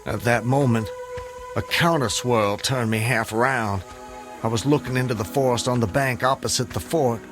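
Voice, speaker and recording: A man acts out a proud gentleman fugitive. He is impatient and honor-bound, speaking at a middle pitch in formal, literary phrases. It is audio drama storytelling with music around the voice. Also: moderate pace, close to an everyday voice. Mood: intense recounting.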